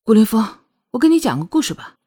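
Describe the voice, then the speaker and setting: Casting: indignant young female romance heroine, voiced by a woman narrator; flustered, defiant, exclamatory, very lively pitch. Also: fast, husky. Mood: determined. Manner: protesting, exclamatory.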